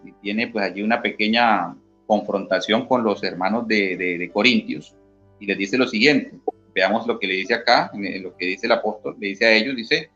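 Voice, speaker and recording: A man's voice: fairly low pitch, normal speed, pushed and bright. A man from Colombia, an interview guest explaining Bible passages in a teacherly way and often checking in with "¿sí?". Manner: teacherly, reads scripture aloud, asks "¿sí?" often. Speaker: interview guest, former church member. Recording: dull lo-fi audio with faint guitar music.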